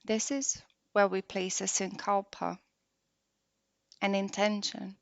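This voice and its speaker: A woman guiding a meditation practice softly and unhurriedly, leaving long pauses between short phrases. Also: mid-range voice, level tone, creaky, dry recording. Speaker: yoga nidra meditation guide. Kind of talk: slow guided meditation. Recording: dull lo-fi close dry recording.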